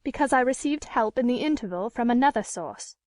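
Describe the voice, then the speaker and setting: A woman voicing a proud, anguished gentlewoman in an audiobook, speaking in an earnest, formal and emotive way. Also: on the high side, expressive, fairly quick, no room sound, studio quality, natural voice. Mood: defensive. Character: anguished, proud, unhappily married gentlewoman pleading her case.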